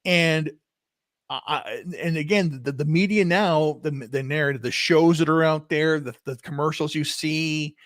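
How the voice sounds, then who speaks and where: A man in his fifties from Texas, hosting a casual, opinionated talk with passion and many halting restarts. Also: on the high side, a bit bright, no echo, clean studio sound. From Texas, USA. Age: 50s. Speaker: podcast host.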